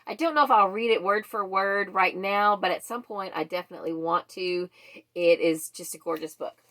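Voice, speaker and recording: A woman's voice: mid-range voice, normal speed, bright, forceful tone. A woman hosting a casual book vlog, chatting to viewers in a rambling way that wanders off on tangents.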